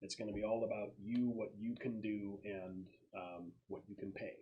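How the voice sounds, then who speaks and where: Low-voiced man running a tabletop game. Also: steady tone, moderate pace, phone-quality sound. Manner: conversational, explanatory. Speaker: game master. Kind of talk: explaining a tabletop game scenario to players.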